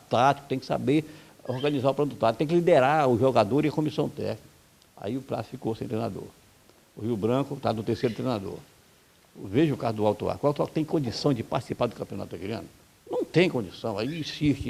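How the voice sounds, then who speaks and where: A man's voice: medium pitch, gravelly, a little mellow, slightly rough. A man from Brazil, a football coach, giving blunt, heated opinions in an interview. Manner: blunt, heated, rapid-fire complaints.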